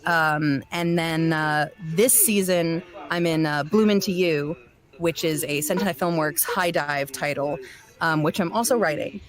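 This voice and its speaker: Lower-voiced woman chatting casually in an interview. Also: measured pace, faint music behind. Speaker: voice actor and director being interviewed.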